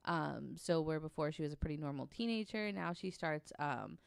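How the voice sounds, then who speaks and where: Low, creaky-voiced woman telling stories casually. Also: expressive, moderate pace, no room sound, clean studio sound. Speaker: podcast guest panelist telling a researched story. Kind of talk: casual conversational storytelling.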